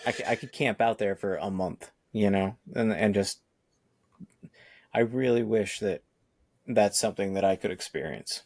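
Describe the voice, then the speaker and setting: A man in his forties with a low, gravelly voice, talking casually on a podcast. He rambles through long thoughts, circling back and restarting as he goes. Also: normal speed, darkish tone. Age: 40s. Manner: rambling, restarts often, laughs easily. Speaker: podcast co-host. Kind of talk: casual chat.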